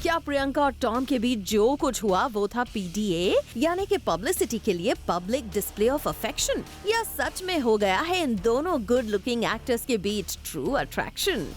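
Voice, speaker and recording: चंचल, उतार-चढ़ाव भरी आवाज़ में महिला समाचार वाचिका. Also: female voice, a little high, big pitch swings, moderate pace, hardly any pauses, over music. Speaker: news reporter. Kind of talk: scripted entertainment news voice-over.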